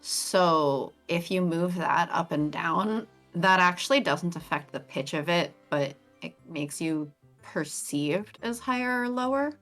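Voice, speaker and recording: A young woman talks casually on a stream, her voice bouncing melodically all over the place. She sits on the lower side of a woman's pitch. Faint music plays underneath. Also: animated, unhurried, few pauses, a bit bright. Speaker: streamer co-host. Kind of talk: casual chat on a game stream.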